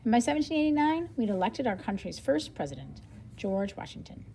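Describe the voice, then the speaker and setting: A woman reading a nonfiction book aloud as a lesson for children, expressively, pausing to explain things simply and think out loud. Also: a little high, very animated, a little hiss or hum, low-quality recording.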